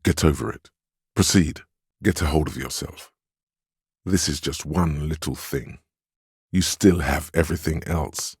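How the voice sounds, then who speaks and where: An actor playing a stern, steadfast inner voice of willpower in a video game, giving measured, solemn counsel and urging composure. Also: male voice, very deep voice, slightly rough, no echo, studio quality, full character voice. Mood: firm, urging. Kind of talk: dramatic video game voice acting.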